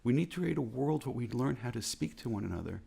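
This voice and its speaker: A man with an American accent, a rabbi giving an earnest, measured reflective talk that repeats phrases for emphasis. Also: medium pitch, animated, creaky, mellow, slightly rough. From United States.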